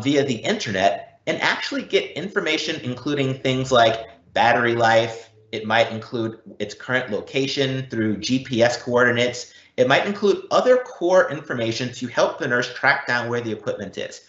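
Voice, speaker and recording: A man giving an explanatory software demo as a product presenter, in a didactic way with emphatic repetition. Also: mid-range voice, lively intonation, moderate pace. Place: indoor room, recorded live online event. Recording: muffled, roomy live-event recording.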